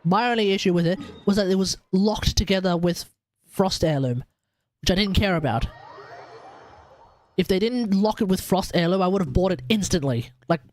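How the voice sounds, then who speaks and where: A man's voice: high voice, moderate pace. A man with an Australian accent giving casual, opinionated, rambling commentary on a gaming livestream. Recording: faint game music behind.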